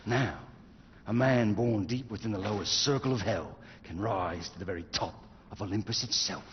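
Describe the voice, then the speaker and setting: A man's voice: fairly low pitch, close to an everyday voice. A man playing a mocking, ambitious villainous henchman in a video game, speaking theatrically and with menace. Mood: grandiose, mocking. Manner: theatrical, slow-savouring, menacing. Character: sinister, mocking, ambitious revolutionary henchman, grandiose storyteller. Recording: cavernous reverb, dull, noisy game audio.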